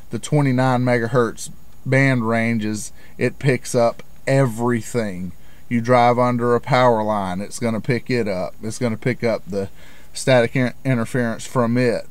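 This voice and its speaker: A man's voice: mid-range voice, clean tone. A middle-aged American man giving an informal, plain-spoken explanation in the manner of a hobbyist vlogger. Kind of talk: informal explanatory talk to camera.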